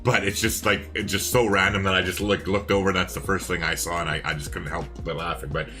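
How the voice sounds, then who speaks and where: A man's voice: sits low, level tone, fast, pushed and bright, soft background music. A man giving casual, chatty live game commentary as a co-caster, rambling as he works things out. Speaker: esports-style co-caster and streamer.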